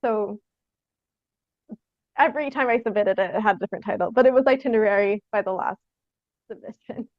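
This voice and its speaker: A young woman from Canada, chatting casually in an interview as a theatre artist, haltingly thinking aloud with lots of "like". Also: mid-range voice, no room sound, dull audio. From Sault Ste. Marie, Canada. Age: young adult.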